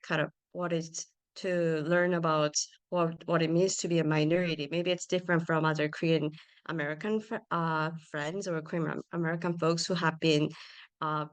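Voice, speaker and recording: Woman, very low voice, halting panel sharing. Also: clear, resonant tone. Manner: halting, trailing off. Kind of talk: personal sharing in a panel chat.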